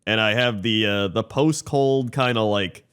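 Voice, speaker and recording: A congested man with a somewhat low voice rambles through a story, full of "like" and "uh". His sound is a bit Muppet-like and stuffed up from a lingering cold, and he keeps it loose and chatty as a podcast co-host. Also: unhurried, fairly bright tone, clear, resonant tone. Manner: rambling storytelling with many fillers. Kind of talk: casual chat.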